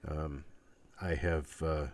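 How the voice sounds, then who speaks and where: A man hosting a casual live online auction stream, chatting in a rambling, halting, folksy way with a scratchy, stuffed-up voice weakened by illness. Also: extremely low pitch, flat delivery, darkish tone, no room sound. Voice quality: congested, stuffed-up nose. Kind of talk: casual livestream chat with viewers.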